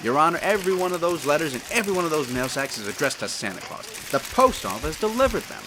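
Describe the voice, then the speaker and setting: A woman's voice: sits low, big pitch swings, fast, background noise. A woman playing an earnest, confident male lawyer in a radio drama. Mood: confident, declamatory. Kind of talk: radio play acting.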